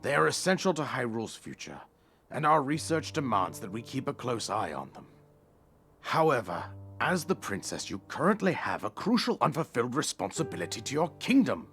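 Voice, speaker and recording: A stern, commanding king who scolds his daughter over her neglected duty,. His delivery swings widely in pitch as he presses his point. A soft score plays beneath. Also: very animated, normal speed, faint music behind. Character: stern royal father, a king, demanding. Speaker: game character. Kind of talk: game cutscene dialogue.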